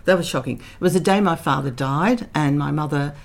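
Middle-aged woman guest, very low and gravelly, reflective storyteller with dry wit. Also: a little fast. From Netherlands. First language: Dutch. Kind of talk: candid interview conversation.

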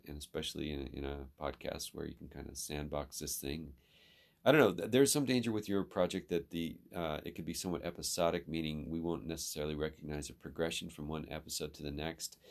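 Man, mentor type, extremely low voice, steady and considered feedback. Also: gravelly. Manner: measured and advising, with ums and restarts. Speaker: instructor giving feedback. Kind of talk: advisory conversation.

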